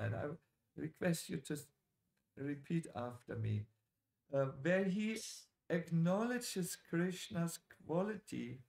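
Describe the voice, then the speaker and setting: Slow, echoey male preacher with gravelly edge. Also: a little high, darkish tone. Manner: deliberate, dramatic pauses, addresses audience directly. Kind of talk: sermon with scripture storytelling. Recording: echoey lecture hall sound.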